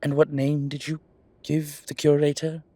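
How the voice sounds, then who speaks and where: A man's voice: on the high side, completely flat delivery, unhurried, warm tone, slightly rough, no room sound. A man playing an exasperated, protective male vampire in an ASMR roleplay drama, scolding and sardonic. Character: exasperated, protective male vampire, dry-witted and world-weary. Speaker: voice actor.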